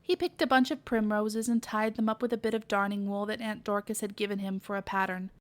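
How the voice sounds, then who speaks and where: A woman's voice: mid-range voice, steady tone, brisk. A woman narrating a children's storybook as a read-aloud podcast reader.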